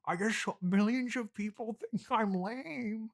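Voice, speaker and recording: A man's voice: high voice, takes it slowly, gravelly, heavily acted. A man playing a bratty, mocking teenage son in an improvised comedy podcast interview, taunting and dismissive. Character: bratty, mocking fourteen-or-fifteen-year-old teenage son.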